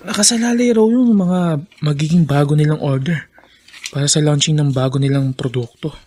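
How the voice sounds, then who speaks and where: An earnest young man with a Filipino accent and a husky voice , played by an actor. He speaks in radio drama style, thinking aloud. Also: on the high side, normal speed, warm tone, close to an everyday voice. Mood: puzzled.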